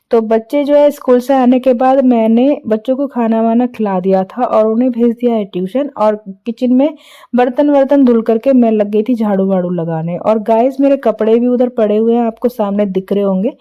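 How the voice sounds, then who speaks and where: Woman vlogger, hoarse throat, slightly high voice, chatty homely narration, muffled lo-fi recording. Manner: chatty, homely, many fillers. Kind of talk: casual voice-over narration of daily chores. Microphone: recorded voice-over.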